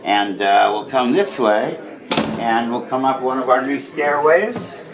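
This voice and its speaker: A man giving an informal, welcoming walk-through tour in a halting way, as a guide showing visitors around. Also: mid-range voice, very noisy. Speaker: tour guide showing a radio society museum. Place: walking through museum building during a public event. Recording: echoey rooms with busy crowd chatter. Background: crowd chatter.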